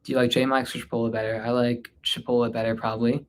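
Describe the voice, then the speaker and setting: A man from North India hosts an unscripted livestream, fielding questions in a casual, mid-pitched voice. He sounds tired, speaking informally and hesitantly, and his sentences often trail off. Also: flat delivery, fast talker. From North India, Delhi area. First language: Hindi, mixed with English. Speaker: livestream host answering viewer questions.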